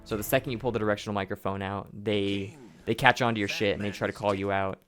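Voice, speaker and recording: A man with a hoarse, sore throat giving casual commentary over his own speedrun, explaining each step, often restarting his sentences. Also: on the low side, fairly even intonation, fast talker, fairly bright tone, faint music behind. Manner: explanatory, rambling, with frequent restarts and profanity. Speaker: gameplay commentator.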